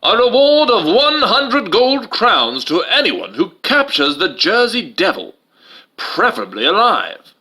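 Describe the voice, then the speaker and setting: Man acting a grandiose, sly circus owner, raised pitch. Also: wildly swooping pitch, measured pace, sharp tone, breathy edge, full character voice. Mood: shouting, proclaiming. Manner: grandiose, proclaiming. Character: male Philadelphia circus owner, sly, greedy, loud schemer. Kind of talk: dramatic storybook reading. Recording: music under the voice.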